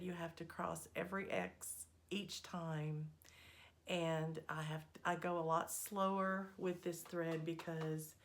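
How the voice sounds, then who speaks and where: Slightly scratchy woman host. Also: lowish voice. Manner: unhurried, friendly, lots of ums. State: slightly scratchy voice, recovering from a cold. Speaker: needlework vlog host. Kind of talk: casual chatty progress update.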